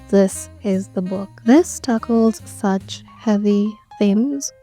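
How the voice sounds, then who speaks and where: A woman's voice: medium pitch, dark tone. A young woman giving an earnest, enthusiastic book review in a casual, conversational way.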